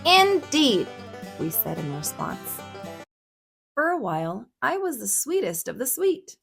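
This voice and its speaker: A woman reading a picture book aloud for children in a dramatic, expressive storytelling manner. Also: mid-range voice, measured pace, vocal fry, music in the background.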